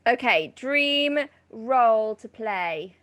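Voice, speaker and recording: A woman's voice: on the high side, lively intonation, slow, fairly bright tone. A woman speaking casually as the host of an interview chat. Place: remote online video chat.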